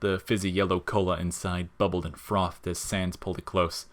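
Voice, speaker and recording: Low-voiced man narrating fiction. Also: little pitch movement, moderate pace. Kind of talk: scripted story narration.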